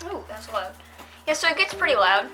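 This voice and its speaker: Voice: mid-range voice, very animated, a little fast, sharp tone, faint music behind. A female-sounding reviewer giving a casual, unscripted product demonstration, talking in a hesitant, rambling way.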